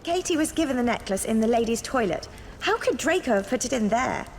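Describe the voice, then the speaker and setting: A woman's voice: fairly high pitch, lively intonation, brisk, a little hiss or hum. An actress playing a prim, reproving, clever teenage schoolgirl in a video game. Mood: doubtful.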